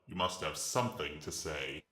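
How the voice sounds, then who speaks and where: A huge reptilian villain, speaks with mocking courtesy and grand self-importance in a sci-fi audio drama. The voice is very low, with a forceful edge, in an echoing recording. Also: male voice, animated, normal speed, full character voice. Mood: sardonic, resigned. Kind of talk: dramatic reading of a sci-fi story. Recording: echoing, reverberant voice track.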